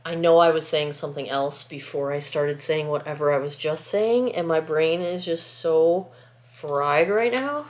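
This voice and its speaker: Woman, sniffly and congested, chatty and rambling, lower voice, faint hiss behind. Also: a little slow, a little mellow. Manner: rambling, self-interrupting, apologetic. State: sniffly, congested from a lingering cold. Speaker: small-business skincare maker. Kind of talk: casual chatty vlog while packing orders. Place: at home, indoors, packing table. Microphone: camera set close by.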